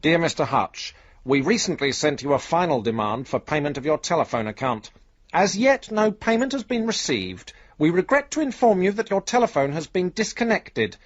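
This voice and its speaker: An officious company manager in a radio play. His voice is fairly high and formally official, on a slightly dull recording. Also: sing-song, normal speed. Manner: formal, official letter-reading. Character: officious male company manager demanding payment. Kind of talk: radio drama letter monologue.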